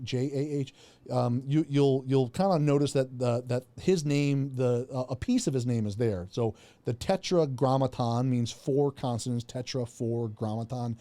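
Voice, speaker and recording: A man's voice: medium pitch, mellow, no echo. A man giving an unscripted Bible teaching talk, rambling with many fillers and restarts.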